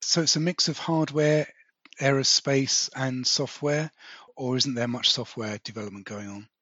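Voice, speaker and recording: A man hosting a podcast interview who asks relaxed follow-up questions and sums up what he hears. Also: mid-range voice, normal speed, no room sound, dull audio. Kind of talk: casual conversational interview.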